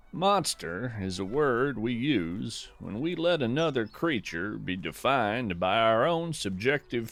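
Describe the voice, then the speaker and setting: A man hosting a podcast with scripted, reflective nature narration, contemplative and deliberate with a gently wry touch. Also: lowish voice.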